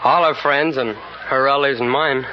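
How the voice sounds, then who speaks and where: A man's voice: mid-range voice, steady tone, moderate pace. A man in his twenties answering as a quiz show contestant on vintage radio, polite and plainspoken. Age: 20s. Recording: muffled noisy 1950 radio broadcast. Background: studio audience.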